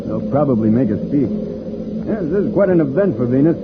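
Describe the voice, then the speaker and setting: An actor playing a calm, reassuring spaceship pilot who patiently explains things in old radio serial style. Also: male voice, mid-range voice, a little fast, natural voice. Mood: calm, instructive. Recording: tinny, very noisy old radio recording.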